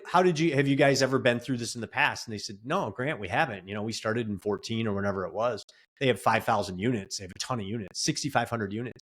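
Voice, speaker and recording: Man, mid-pitched voice, earnest, advice-giving, long run-on sentences, casual interview. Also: fairly bright tone. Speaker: real estate investor and coach.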